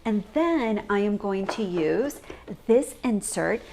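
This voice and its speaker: A woman's voice: medium pitch, animated, slow, a little mellow, slight background noise. A woman from the United States hosting an upbeat home organizing show in a chatty, encouraging, informal way. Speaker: professional organizer and show host. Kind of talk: upbeat lifestyle show presenting. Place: home kitchen, indoors. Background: background music.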